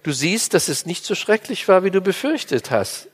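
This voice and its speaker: A man reading a reproachful woman's lines in an audiobook, lifting his voice a little higher. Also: sing-song, normal speed, slightly rough, in a large hall, exaggerated voice.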